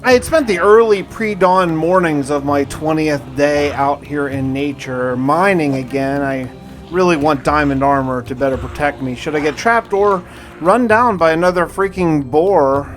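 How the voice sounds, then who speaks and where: A man's voice: fairly high pitch, moderate pace. A man narrating a survival game playthrough in a conversational, first-person storytelling way, as a roleplaying video host. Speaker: gaming video host and player. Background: music.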